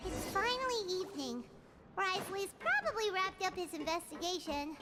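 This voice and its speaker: Super high squeaky lil game fairy, curious n pouty, bouncy delivery, music playing. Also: female voice, very animated, moderate pace. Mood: puzzled. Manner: speaks of self in third person, exclaiming. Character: tiny excitable floating fairy companion, childlike, curious, pouty. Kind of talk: video game character dialogue.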